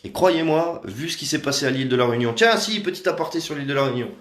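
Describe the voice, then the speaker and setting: A man's voice: a little high, expressive, racing, fairly bright tone. Man rambling sarcastically in an echoey room. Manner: sarcastic, rambling with many hesitations. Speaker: activist livestreamer. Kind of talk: casual live video monologue. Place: room indoors at home.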